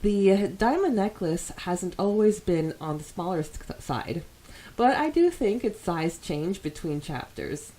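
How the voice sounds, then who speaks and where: A woman's voice: fairly low pitch, expressive, measured pace, dark tone. A woman co-hosting a podcast, chatting casually and reading out comments in an informal, giggly way. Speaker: podcast co-host.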